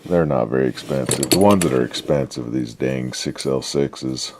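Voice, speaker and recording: A man giving a casual, unscripted workbench demonstration in a laid-back, folksy, halting way, often trailing off. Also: extremely low pitch, background noise. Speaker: radio restoration hobbyist presenting a repair update.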